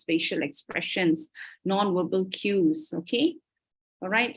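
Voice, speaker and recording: A woman's voice: fairly low pitch, husky, rough recording. A Malaysian woman lecturing over a video call, explaining her points carefully and often checking in with "okay?". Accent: Malaysian English. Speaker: university lecturer. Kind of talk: online lecture.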